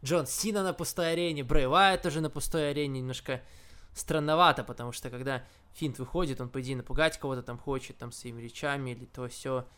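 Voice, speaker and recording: Podcast guy, mid pitch, laid out casual and low-key, kinda subdued. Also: steady tone, fast talker, a bit bright, clean tone. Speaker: co-host of a wrestling podcast. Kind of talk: casual chat.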